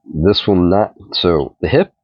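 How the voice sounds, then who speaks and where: A middle-aged man with a stuffed-up voice, casually reviewing a toy in a relaxed, rambling way. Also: low voice, slow pace, slightly rough, dry recording. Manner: relaxed, rambling, repeats himself while handling the figure. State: stuffed up from a cold. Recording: dull, dry home recording.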